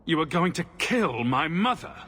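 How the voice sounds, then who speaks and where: A man's voice: fairly high pitch, big pitch swings, moderate pace, pushed and bright, exaggerated voice. An actor playing a wary, defiant male hero in a fantasy game, questioning those around him. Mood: suspicious.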